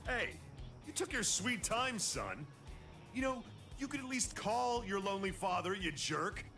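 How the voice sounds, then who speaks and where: A man's voice: high-pitched, sing-song, unhurried, bright, forceful tone, soft background music, full character voice. A man voicing a goofy, doting middle-aged father in an English anime game dub, theatrical and sentimental. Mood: indignant, teasing.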